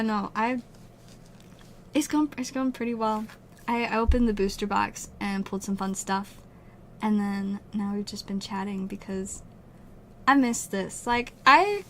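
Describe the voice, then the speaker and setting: A woman's voice: mid-range voice, a little hiss or hum. A twenty-year-old woman from Utah chatting casually with livestream viewers, rambling with lots of fillers and laughs, her voice strained and wearing out. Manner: rambling, giggly, many "like" fillers. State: losing her voice, strained throat. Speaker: streamer.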